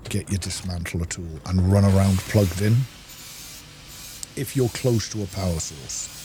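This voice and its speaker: A man's voice: deep voice, unhurried, noisy background. A man giving a casual gameplay walkthrough, explaining things in practical asides to the viewer. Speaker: gaming video host.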